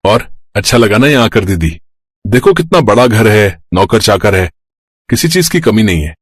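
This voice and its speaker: Man playing low, husky, earnest brother. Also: big pitch swings, normal speed, dry recording, clean studio sound. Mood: boastful, offering. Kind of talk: Hindi moral-story cartoon dubbing.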